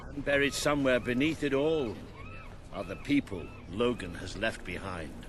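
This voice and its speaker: An older British actor's role in a game: a man playing a gruff, hearty old soldier mentor, blunt and wry. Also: mid-range voice, wildly swooping pitch, moderate pace, background noise. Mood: grim. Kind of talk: video game cutscene acting.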